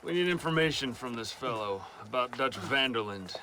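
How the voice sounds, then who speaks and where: A man's voice: mid-range voice, animated, moderate pace, heavy background noise, lightly acted. An actor playing a dutiful, businesslike government agent who defers to his boss in a video game. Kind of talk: dramatic video game acting.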